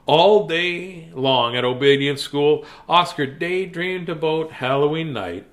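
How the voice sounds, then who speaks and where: Male storyteller, lively swooping intonation, slightly high voice, theatrical pauses, bedtime reading with playful asides.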